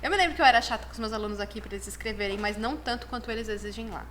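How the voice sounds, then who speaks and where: A woman's voice: a little high, rapid-fire, fairly bright tone, a little hiss or hum. A woman, a chiropractic dean chatting as the guest on a podcast, upbeat and full of fillers. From Brazil. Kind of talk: casual podcast interview.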